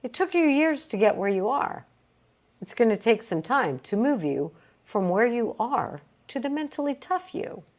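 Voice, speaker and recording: A woman reading a motivational self-help book aloud, with emphatic, deliberate phrasing and short pauses. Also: a little high, big pitch swings. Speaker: reader of a book read aloud.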